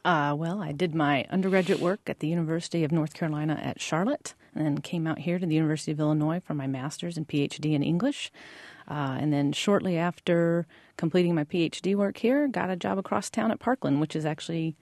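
A woman's voice: sits low, quick pace, a little mellow, no room sound. A woman with an American accent speaks as a guest in an interview. She is a college teacher who answers conversationally, working out her thoughts aloud and repeating words as she goes. The recording is dry, with very little room sound. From Georgia, United States.

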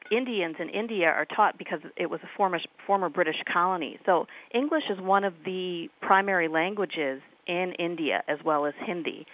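A Midwestern woman, a professor, talks through her ideas on a radio show in a measured, academic way, often pausing on "um". Her voice sits a little low for a woman. It comes in over a narrow, tinny phone line. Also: dry recording. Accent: Midwestern American. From United States. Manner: measured, academic, with frequent ums. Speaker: professor and author guest. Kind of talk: radio interview. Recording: phone-line call-in audio.

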